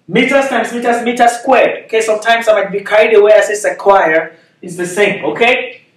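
A man's voice: sits high, moderate pace. A man in his thirties with a Nigerian accent, giving a physics lecture at a whiteboard and explaining step by step, often checking in with "okay?". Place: classroom with whiteboard, indoors. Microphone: far camera. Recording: echoey room, voice from a distance.

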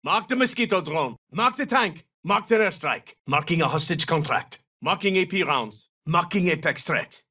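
Bright, forceful military operator. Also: male voice, on the high side, animated, moderate pace, few pauses, breathy edge, recorded from a distance, exaggerated voice. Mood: focused. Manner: clipped, terse tactical callouts. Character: confident, battle-hardened male special forces operator. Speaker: video game voice actor. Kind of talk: military shooter game callouts.

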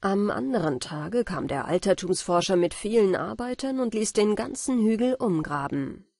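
Husky woman reading fairy tales. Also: medium pitch, lively intonation, normal speed, warm tone, no room sound, studio quality. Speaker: audiobook narrator. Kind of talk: bedtime fairy tale narration.

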